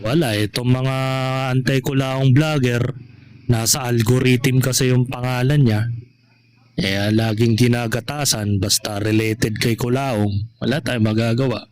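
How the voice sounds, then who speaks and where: A man speaks as a vlogger commenting on gossip for his followers. His pitch sits in the middle and his pace is slow. He moves haltingly, circling back over points and checking in with short rhetorical questions. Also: steady tone, a little mellow.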